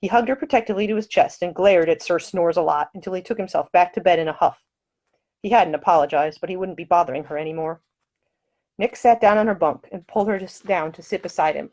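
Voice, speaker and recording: A woman's voice: deep voice, darkish tone. A woman reading her own novel aloud as scripted audiobook narration.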